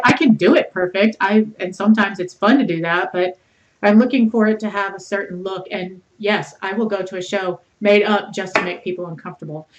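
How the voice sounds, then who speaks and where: A woman with a mid-pitched mezzo-soprano voice hosts a casual interview. She talks animatedly and bluntly, swears freely, and laughs often. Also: rough recording. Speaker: podcast host, interviewer.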